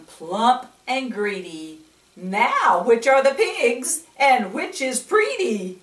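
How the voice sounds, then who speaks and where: An older woman reading a story and teaching a Bible lesson to children in a grandmotherly way, with questions and asides. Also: on the high side. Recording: echoey room, distant microphone.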